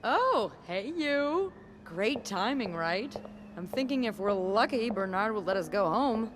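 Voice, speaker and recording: Playful, animated young hotel worker. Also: female voice, mid-range voice, unhurried. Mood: breezy, concerned. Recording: clearly noisy background ambience.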